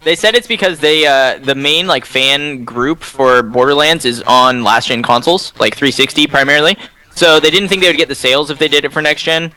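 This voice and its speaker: A man's voice: on the high side, fairly quick, sharp tone, poor audio. A young man co-hosting a gaming podcast, explaining game news in casual chat.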